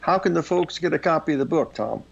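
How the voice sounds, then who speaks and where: A man's voice: fairly high pitch, animated, fast talker, gravelly, breathy edge, dull audio. An older man hosting a market show over a headset, talking in a folksy, chatty way and calling listeners folks. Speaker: show host. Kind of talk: casual market chart talk.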